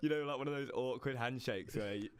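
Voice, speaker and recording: A man's voice: mid-range voice, fast talker, smooth, dry recording. A young British man co-hosting a casual podcast, chatty and easygoing, often laughing. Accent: British English. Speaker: podcast co-host. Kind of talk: casual chat.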